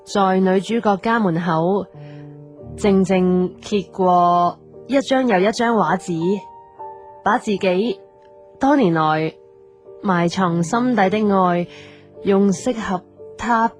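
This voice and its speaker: A woman's voice: mid-range voice, takes it slowly, long pauses, soft background music, natural voice. An actress playing a young woman in an audio drama, with a Hong Kong Cantonese accent. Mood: gentle, reading aloud.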